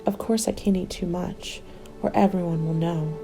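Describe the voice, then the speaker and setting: A woman playing a cynical, scheming apocalypse survivor in an ASMR roleplay, breathy and often whispering, taunting and self-justifying. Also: fairly low pitch, steady tone, normal speed, over music. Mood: gloating, sensual. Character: cynical, defiant woman survivor hoarding food, selfishly scheming.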